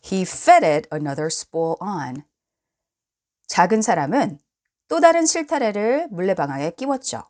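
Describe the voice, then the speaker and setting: Slow, animated woman reading a children's story. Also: medium pitch. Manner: expressive, deliberate storytelling. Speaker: storybook reader. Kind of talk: bilingual children's story read-aloud.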